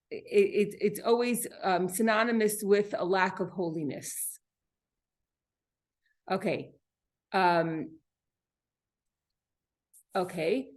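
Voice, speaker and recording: A woman leads an informal class as the teacher. She speaks at a very unhurried pace in a mid-range voice, halting as she goes. She often restates a point or poses a question to her listeners. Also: mid-range voice. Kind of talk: informal spoken lesson explaining Hebrew vocabulary.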